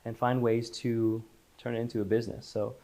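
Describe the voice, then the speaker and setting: A man's voice: medium pitch, little pitch movement, brisk, dark tone. A man born in Israel and raised in Los Angeles, a company founder chatting as an interview guest in a loose, searching way with frequent restarts. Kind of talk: casual interview chat.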